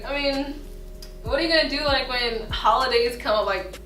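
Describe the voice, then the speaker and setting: A woman in her twenties chatting casually as an interview guest, candid and animated, with slangy wording. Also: sits high, normal speed. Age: 20s. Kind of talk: casual chat. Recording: roomy, distant mic, music behind. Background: music.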